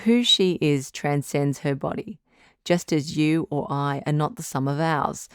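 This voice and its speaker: Low-voiced, reassuring woman radio doctor, played by an actress. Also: smooth, no echo, clean studio sound. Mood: reassuring, sincere. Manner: measured, reassuring, articulate. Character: calm, reassuring woman doctor hosting a supernatural advice show. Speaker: radio call-in advice show host. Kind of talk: scripted audio drama as radio call-in host.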